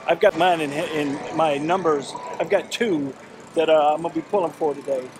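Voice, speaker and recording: A man's voice: fairly high pitch, normal speed. A man in his fifties co-hosting a travel vlog, talking in an enthusiastic, folksy way outdoors at a racetrack into a handheld camera. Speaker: travel vlogger co-host. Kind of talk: casual vlog chat. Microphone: handheld camera at arm's length. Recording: outdoor noise.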